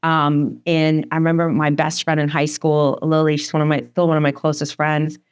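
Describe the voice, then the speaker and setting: A woman in her forties with a slight Northeastern American accent talks fast and candidly, her voice sitting a little low. She laughs easily and keeps the conversation rolling. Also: little pitch movement, smooth. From Sweden. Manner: fast, chatty, laughs often. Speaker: guest, divorce attorney.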